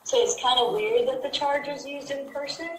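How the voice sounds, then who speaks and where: A woman's voice: high-pitched, normal speed. An American woman talking casually on a phone call, explaining things as a cardholder. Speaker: cardholder reporting fraud. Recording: echoey speakerphone call.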